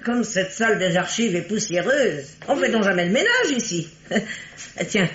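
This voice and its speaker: A man's voice: high voice, expressive, moderate pace. An actor playing a shrewd, bossy amateur detective who teases his partner, in theatrical, explanatory 1950s French radio mystery style. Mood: confident, brisk. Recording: dull vintage radio recording.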